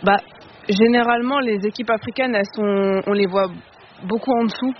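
A woman on a football show panel, talking in relaxed casual chat with many fillers. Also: mid-range voice, normal speed. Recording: muffled stream with faint noise.

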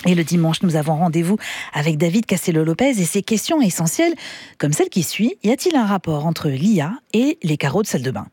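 A woman hosting a morning radio show, linking segments with brisk, upbeat announcements. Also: on the low side, very animated, warm tone, breathy edge. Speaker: radio host. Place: radio studio. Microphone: studio mic. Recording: broadcast with music underneath. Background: music bed.

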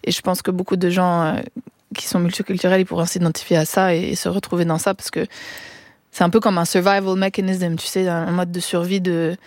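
A woman's voice: on the low side, level tone, a little fast, a little mellow, no room sound. A young woman guest on a radio show in a studio, talking casually with many restarts and English words mixed into her French. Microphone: studio microphone.

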